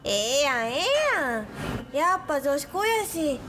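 A woman playing a brash, generous, passionate high school girl with a Kansai accent in a radio drama. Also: very animated, moderate pace. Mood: teasing. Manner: pushy, confident, teasing. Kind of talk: Japanese anime-style radio drama acting.

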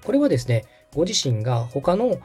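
A mellow, slightly husky man with lively intonation guides a learner as a host. He explains each step politely and methodically, with music underneath. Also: mid-range voice, fairly quick. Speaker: podcast host and radio director giving step-by-step guidance. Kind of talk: instructional walkthrough in dialogue.

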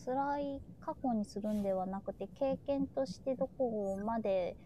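A woman's voice: a little high, moderate pace. A woman chatting casually on a talk show, reflecting and prompting the others. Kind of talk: casual chat. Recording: soft, faintly noisy room ambience.

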